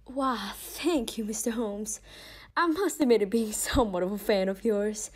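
A woman's voice: medium pitch, normal speed, lightly acted. A woman playing a polite, eager young reporter with a genteel Southern US accent in an audio play. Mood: earnest. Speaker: actor.

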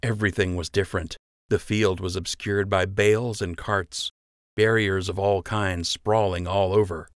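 Male narrator for fiction audiobook, fairly low voice, dry close studio-style sound. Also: fairly even intonation, normal speed, no echo.

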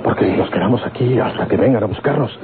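Through a thin, old radio recording comes a tough bandit on the run acted by a man. His voice is low, with a country accent from northern Mexico. He speaks in terse, rustic commands, as in a classic radio serial. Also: fast talker, narrow, tinny audio, crowd noise, close to an everyday voice. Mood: tense, hushed. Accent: rural northern Mexican.

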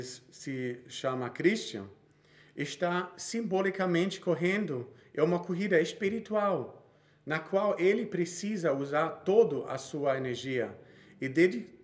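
Slow, measured Brazilian preacher man. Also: fairly high pitch. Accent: Brazilian Portuguese. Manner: measured, reads from notes with frequent restarts. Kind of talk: sermon read from notes.

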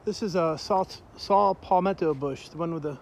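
Guy with a high voice rambling on a park walk, phone mic outdoors, lots of noise. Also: moderate pace. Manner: rambling, pointing things out with many fillers. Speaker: vlogger filming a park walk. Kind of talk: casual walking tour narration. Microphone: handheld phone camera. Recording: outdoor phone audio with loud background noise.

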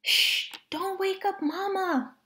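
An excitable, high-voiced little monkey child from a storybook, acted out by a woman reader. The manner is childlike and urgent, fretful and given to shushing, with measured pacing. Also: exaggerated voice. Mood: hushing.

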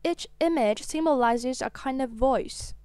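A woman reading a scripted voice-over as the narrator of a software tutorial. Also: high-pitched, a little slow, clean tone, no echo.

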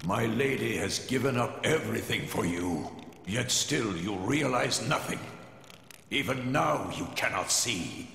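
Stern courtly tiger beast for a game, creaky voice, reverb and music, reproachful. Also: male voice, on the low side, animated, fairly bright tone, full character voice. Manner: formal, measured, reproachful. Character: formal, stern tiger beast, loyal servant to his lady. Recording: echoey game audio with music behind.

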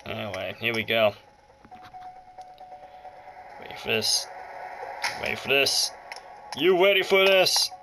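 Animated, playful man doing gaming commentary. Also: medium pitch, slow, pauses often, noisy background. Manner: playful, jokey, mutters and exclaims to himself. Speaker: gaming video host. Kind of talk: casual let's play commentary. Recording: loud game music over the voice. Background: handheld game music and sounds.

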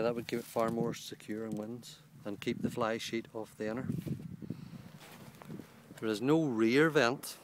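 Man, plain mid-pitched voice, matter-of-fact gear reviewer outdoors, handheld camera mic. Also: noisy background. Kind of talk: casual product walkthrough. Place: outdoors on a lawn beside a small tent. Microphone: handheld camera held by the speaker.

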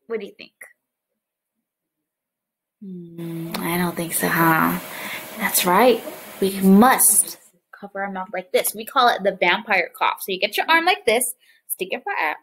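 A young woman preschool teacher reading a picture book aloud to small children and explaining it, with animated, exaggerated expression. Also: mid-range voice, a little slow, long pauses, breathy edge, low-quality recording. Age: young adult. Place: small living room, indoors, on a couch.

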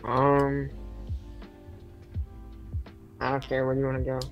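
A man's voice: medium pitch, completely flat delivery, fast talker, creaky, lo-fi sound. A teenage boy chatting casually with a friend while they play a game together. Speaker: co-player. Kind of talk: casual gaming chat. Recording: voice chat with faint music behind.

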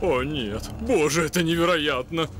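A man voicing an anxious, fussy, kindly beaver-like gentleman in a cartoon dub, fretful and exclamatory. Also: on the high side, a little slow, a little hiss or hum. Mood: shocked dismay.

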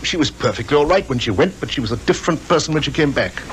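A troubled British gentleman in a 1950s radio drama. He is middle-aged, polite and a little hesitant. The old recording has a faint layer of noise. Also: fairly high pitch, lively intonation, fast talker, rough recording. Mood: troubled, earnest. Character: anxious upper-class English father, polite and troubled.

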